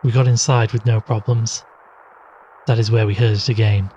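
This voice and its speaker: A man playing a sceptical, weary investigator in an audio drama, measured and questioning as he talks and narrates. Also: lowish voice, flat delivery, dark tone, lo-fi sound, a little hiss or hum, close to an everyday voice. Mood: sombre narrating. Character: sceptical, weary male investigator recounting strange events.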